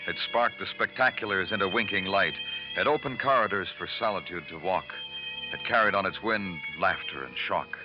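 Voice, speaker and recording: A man playing a hardened, melancholy city detective in an old radio crime drama. His very low voice is measured and poetic. It sounds dull and muffled, with prominent music. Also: lightly acted. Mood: somber, poetic. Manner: poetic, measured, brooding delivery. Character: hardened, melancholy New York homicide detective. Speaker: narrator and lead actor.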